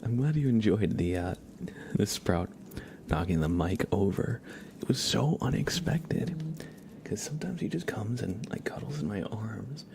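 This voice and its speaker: A man hosting an ASMR livestream, chatting casually and gently with viewers in a soft, breathy voice that often drops into a whisper, close to the microphone. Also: fairly low pitch, expressive, a little slow, a little hiss or hum. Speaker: livestream host.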